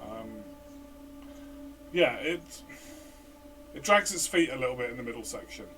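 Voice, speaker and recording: A man's voice: mid-range voice, expressive, normal speed, fairly bright tone, recorded from a distance, faint music behind. A man co-hosting a casual game review chat, hesitant and full of fillers and restarts. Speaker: podcast co-host, player.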